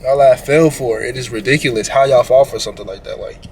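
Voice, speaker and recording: A man's voice: medium pitch, fast talker, slight background noise. A man co-hosting a casual reaction commentary, slangy and rambling, talking straight to his audience. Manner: slangy, rambling storyteller who addresses the audience. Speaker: commentary co-host.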